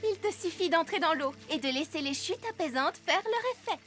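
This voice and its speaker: A woman voicing a caring, brisk young girl who takes charge in a cartoon dub, earnest and expressive. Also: very high-pitched. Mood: tender, worried. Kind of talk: French cartoon dub acting. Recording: music behind the dialogue. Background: music.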